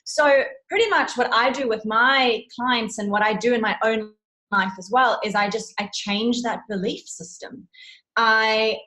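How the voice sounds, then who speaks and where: A woman's voice: on the high side, unhurried, sharp tone, low-quality recording. A woman with a South African accent explaining her work as a coach in an earnest, emphatic, conversational way. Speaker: interview guest, self-love and confidence coach.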